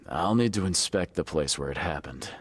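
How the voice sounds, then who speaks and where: A man playing a weary, hard-boiled private eye in a video game, with a gravelly voice and a terse, dry manner. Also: heavily acted. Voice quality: very deep, gravelly, rough. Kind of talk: video game cutscene acting.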